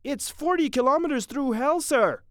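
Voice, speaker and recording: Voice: high-pitched, lively intonation, normal speed, no echo, clean studio sound, voice pushed up. A narrator voicing an anxious, deferential army sergeant in a storybook reading, urgent and exclaiming. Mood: deferential, admiring. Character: anxious deferential wartime army sergeant, admiring his captain.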